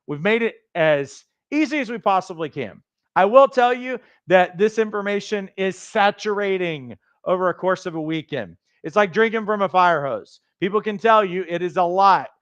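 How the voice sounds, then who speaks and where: Fast-talking raspy male coach. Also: high voice, animated, a bit bright, dry recording, muffled recording. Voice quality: raspy from days of training. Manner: fast-talking, emphatic, motivational. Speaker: life coach trainer hosting a livestream. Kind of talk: energetic sales pitch on a livestream.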